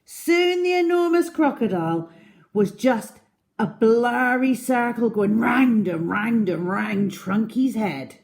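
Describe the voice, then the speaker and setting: A woman telling a children's story in an expressive, deliberate way with dramatic emphasis. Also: a little high.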